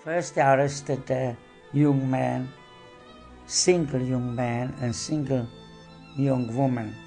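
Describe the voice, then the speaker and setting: A man in his eighties from Slovakia, giving measured, halting testimony as a Holocaust survivor in a documentary. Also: medium pitch, vocal fry, dark tone. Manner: measured, halting recollection with pauses.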